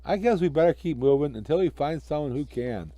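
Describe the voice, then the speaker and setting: Man voicing a cheerful, lively, childlike snowman. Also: a little high, a little fast, no echo, natural voice. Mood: worried. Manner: eager, innocent, childlike. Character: Frosty, naive cheerful talking snowman. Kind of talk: amateur children's storybook reading.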